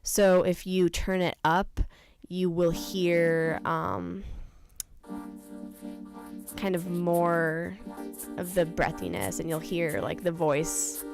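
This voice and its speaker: A young adult woman presenting an informal software tutorial, explaining things casually step by step. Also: lowish voice, takes it slowly, vocal fry, pauses often, over music. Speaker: tutorial presenter, studio intern.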